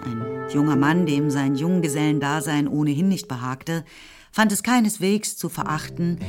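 A woman narrating a period novel for a radio play in a measured, wry, literary manner. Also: sits low, lightly acted.

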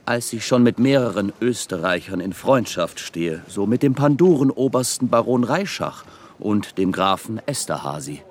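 Very deep-voiced, courteous Venetian gentleman. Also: lively intonation, normal speed. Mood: courteous, persuasive. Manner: formal, polite, persuasive. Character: masked Venetian gentleman, smooth, obliging, courteous go-between. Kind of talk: German literary radio drama acting.

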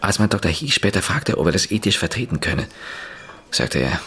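An actor playing a beaten-up journalist in a radio drama, nervous at first and then telling a long story. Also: male voice, low-pitched, very animated, fairly quick, fairly bright tone. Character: shaken journalist Pit Kern, beaten up.

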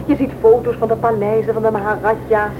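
A curious, wistful woman of the 1930s played by an actress chats rapidly in a radio drama. Her voice is fairly high and the recording is slightly dull. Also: level tone, noisy background, lightly acted. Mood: chatty, wistful. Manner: chatty. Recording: dull old radio play sound.

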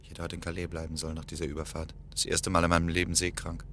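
A man's voice: low voice, completely flat delivery, rapid-fire, a bit bright, a little hiss or hum. A man acting in a German radio play as a self-absorbed, stubborn scholar, boastful yet anxious, with a brusque, hesitant delivery. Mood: anxious, brooding. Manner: hesitant filler-laden speech, brusque, interrupting.

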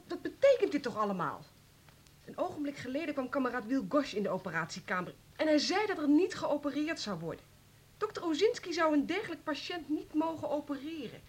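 An actress playing an anxious, bewildered nurse in a radio play, hesitant and questioning. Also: female voice, sits high, a little hiss or hum. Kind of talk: Dutch radio play acting.

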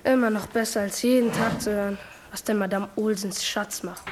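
A woman's voice: medium pitch, fairly even intonation, normal speed, background noise, lightly acted. A female-sounding performer playing a blunt, defiant, proud schoolboy son in a German radio drama.

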